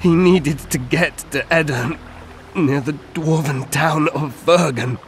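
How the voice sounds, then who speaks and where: Higher-pitched grave, pained elf. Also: male voice, slow, slight background noise. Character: wounded male elf witness, grave, pained. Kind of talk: video game voice acting.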